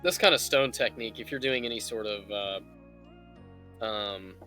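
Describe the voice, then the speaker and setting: A man's voice: fairly low pitch, a little slow, sharp tone. A man giving a casual, chatty live tutorial as a stream host, explaining things in an easygoing, informal way. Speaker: host of a livestream painting tutorial. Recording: music playing behind the voice. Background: music.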